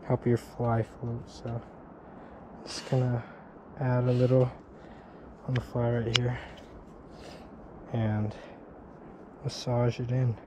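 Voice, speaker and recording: A young man casually talking to viewers while fishing at a mountain lake, speaking quietly and admiring the fish, recorded on a body-mounted action camera. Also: mid-range voice, monotone, takes it slowly, long pauses. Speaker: fishing vlogger. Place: outdoors by a mountain lake and stream. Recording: outdoor action camera with water noise. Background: water and wind.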